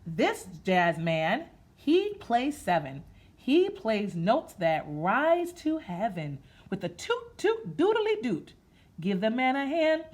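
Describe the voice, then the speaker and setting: A woman with a fairly high, very animated voice hosts a children's read-aloud. She takes her time with a sing-song, rhythmic delivery and talks to her listeners as friends. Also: slow. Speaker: storytime host reading a picture book aloud. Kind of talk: children's read-aloud with rhythmic sound effects and chatty asides.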